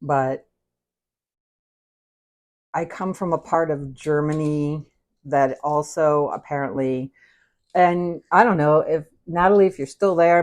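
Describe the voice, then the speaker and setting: A woman's voice: low voice, darkish tone. Candid American woman in her sixties. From United States. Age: 60s. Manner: candid, meandering, self-interrupting. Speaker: cooking livestream host. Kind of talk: casual rambling livestream chat while cooking.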